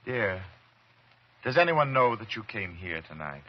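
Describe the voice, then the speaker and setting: An actor playing an obsessed, murderous doctor in a theatrical old-time radio horror drama. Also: male voice, fairly low pitch, sing-song, unhurried. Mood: quietly menacing. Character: obsessed doctor turned coldly murderous, later terrified. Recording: dull, noisy vintage radio recording.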